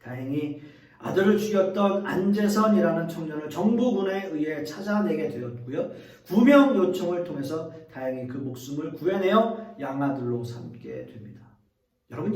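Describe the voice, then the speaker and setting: A middle-aged man preaches with earnest conviction, his higher voice rising and falling in lively sweeps as he turns to the congregation again and again. The sound comes from some distance off the mic and rings through a roomy church hall. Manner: earnest preaching, addresses the congregation directly, leans on repeated phrases. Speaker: pastor. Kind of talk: sermon.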